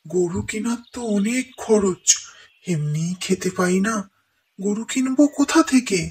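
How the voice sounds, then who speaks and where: A woman playing a poor, worried old male woodcutter in a cartoon, speaking in a slow, fretful, plaintive way. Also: mid-range voice, animated, darkish tone, close to an everyday voice. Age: older. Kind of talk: cartoon moral-story dialogue. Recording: noisy track with birdsong.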